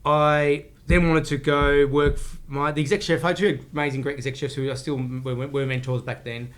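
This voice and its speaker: A man's voice: a little high, level tone. An Australian man in his forties, a chef telling his career story as a podcast guest, rambling with frequent restarts. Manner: rambling, restarts often, speaks in quick bursts. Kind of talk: casual storytelling interview.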